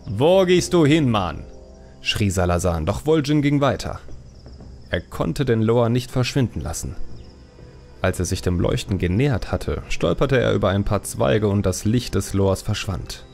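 A man's voice: on the low side, expressive, moderate pace, gravelly, a little mellow. A man reading a fantasy audiobook as a dramatic, scripted narration. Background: faint music.

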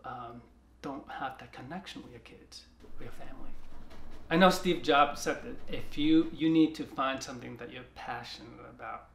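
Soft, gravelly-voiced man in a roomy studio. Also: medium pitch. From China. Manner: soft-spoken, halting with frequent restarts. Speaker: artist. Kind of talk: reflective interview talk. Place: art studio, indoors. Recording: roomy studio sound with music behind.

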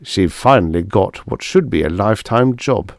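A man's voice: fairly low pitch, animated, moderate pace, gravelly, no echo. A man reading the lines of a dry-humored husband. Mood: wry. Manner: dry, wry.

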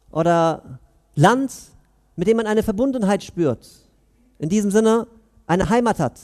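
A man in his thirties giving a Friday sermon in a mosque, earnest and questioning, pausing between phrases, on a headset mic. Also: sits high, darkish tone. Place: mosque prayer hall, indoors.

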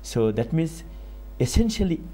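An older man from Bangladesh, speaking as an author on a TV panel in a studio, measured and rising for emphasis. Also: on the high side, sing-song, warm tone. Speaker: author guest. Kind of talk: TV panel discussion. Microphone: lapel.

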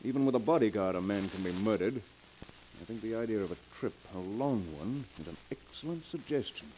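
A man's voice: on the low side, animated, brisk, mellow, close to an everyday voice. An actor playing an anxious, commanding wealthy businessman, brusque and emphatic in 1940s radio drama style. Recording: hissy crackling 1940s radio recording.

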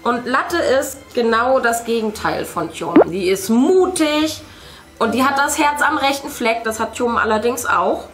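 A woman's voice: a little high, expressive, measured pace, loud music behind the voice. A middle-aged woman hosting a children's craft video, casually explaining things to young viewers. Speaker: host of a children's craft channel. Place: indoor room, seated at a craft table.

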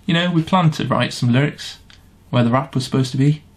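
A man's voice: mid-range voice, fairly quick. A man chatting casually to camera in a wry, self-deprecating way. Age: 20s. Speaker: amateur pantomime performer. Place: small room indoors. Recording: clearly noisy room sound.

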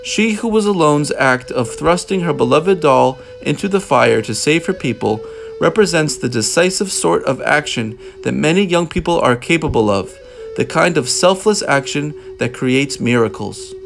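A man reading a children's picture book aloud as a narrator, in measured phrases with deliberate pauses. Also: on the high side, expressive, vocal fry, soft background music. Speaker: storybook narrator.

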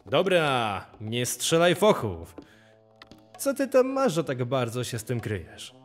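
Man as a lazy, lively-pitched hard-drinking guard. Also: slow, soft background music. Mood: lazy, carefree. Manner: casual, sighing, colloquial.

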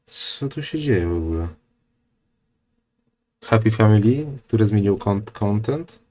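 A man's voice: fairly low pitch, lively intonation, unhurried, mellow. A man streaming, reacting to a video with offhand casual comments.

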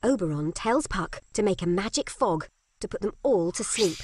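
A woman narrating a children's story in simple, clearly scripted lines with expressive delivery. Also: medium pitch, normal speed, no room sound. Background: music.

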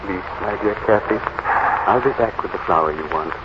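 An actor playing a gentle, worried gentleman husband, speaking softly and tenderly in 1930s radio style. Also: low voice, close to an everyday voice. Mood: tender, concerned. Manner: soft, tender, measured. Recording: muffled, noisy 1939 radio recording.

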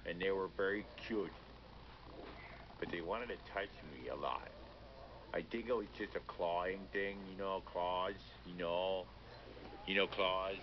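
A man voicing a nervous, literal-minded llama, awkward and friendly, halting and repeating himself, as a character on a children's radio phone-in. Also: deep voice, pitched below the performer's own voice. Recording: muffled, noisy re-recording off a speaker.

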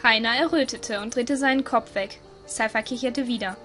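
A woman's voice: a little high, moderate pace, a bit bright, soft background music. A woman reading scripted story narration for an audio drama.

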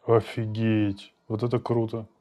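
A man's voice: lowish voice, completely flat delivery, measured pace, mellow. A man in his thirties hosting a casual video call chat from a small room at home, laid-back and slangy, laughing easily. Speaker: podcast host.

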